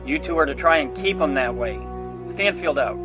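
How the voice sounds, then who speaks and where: A man's voice: fairly high pitch, lively intonation, normal speed, highs cut off, over music, lightly acted. A man playing a stern, displeased starship captain who gives formal, clipped orders in a science fiction audio drama.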